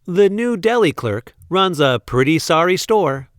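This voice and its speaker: A man reading a picture book aloud expressively, with deliberate, playful emphasis on the sentences. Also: fairly high pitch, sing-song, no room sound, studio quality. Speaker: read-aloud storyteller.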